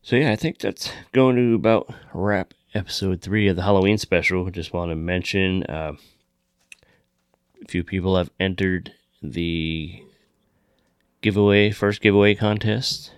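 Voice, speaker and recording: A man's voice: low-pitched, steady tone, unhurried, smooth, no room sound, clean studio sound. A man hosting a podcast, chatting casually and making show announcements. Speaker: podcast host.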